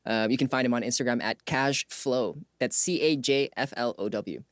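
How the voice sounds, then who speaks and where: Male interview host, medium pitch, dry close sound. Conversational questioner, appreciative and affirming. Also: moderate pace, no echo. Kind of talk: conversational hosting, asking questions.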